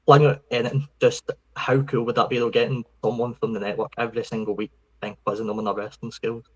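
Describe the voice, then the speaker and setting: A man's voice: medium pitch, flat delivery, quick pace, rough recording. A man with a Scottish accent hosting a casual podcast chat, friendly and rambling, often restarting his words. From Scotland. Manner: friendly, rambling, repeats words when starting. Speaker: podcast host.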